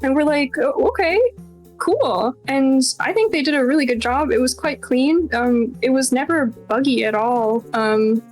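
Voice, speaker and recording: A woman with a fairly high voice chats informally as a game developer in a relaxed Q&A. She is self-deprecating and peppers her talk with "like", and music plays behind her. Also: moderate pace, hardly any pauses. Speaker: game developer being interviewed. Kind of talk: casual Q&A chat.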